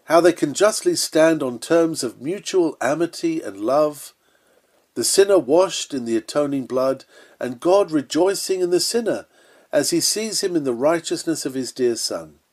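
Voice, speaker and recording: A man's voice: fairly high pitch, expressive, normal speed, darkish tone. Earnest man reading an old sermon. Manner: earnest, emphatic, solemn. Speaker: sermon reader. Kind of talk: read-aloud Victorian sermon, earnest preaching delivery.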